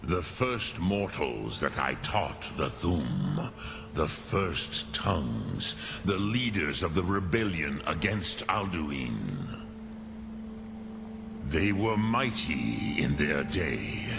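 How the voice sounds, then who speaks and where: A man playing an ancient, wise male dragon in a fantasy video game, speaking in a solemn, measured, contemplative manner. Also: rumbling low, sing-song, vocal fry, noisy background, heavily acted. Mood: solemn, reflective. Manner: measured, solemn, philosophical, weighing each phrase. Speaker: mentor, master of an order. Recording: game music behind the voice.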